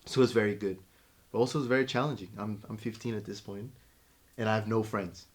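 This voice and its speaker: A young man from Portugal chats casually on a podcast, telling stories at an easy pace. His voice sits low, and he leans on fillers as he goes. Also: brisk. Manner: relaxed storytelling with lots of fillers. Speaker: podcast co-host. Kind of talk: casual chat.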